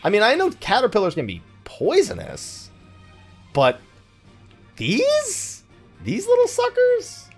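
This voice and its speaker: A man's voice: extremely high pitch, wildly swooping pitch, takes it slowly, long pauses, soft background music. A man hosting a gaming let's play, chatting casually in a rambling, joking way with mock exasperation and self-mockery. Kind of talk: casual chatty game commentary.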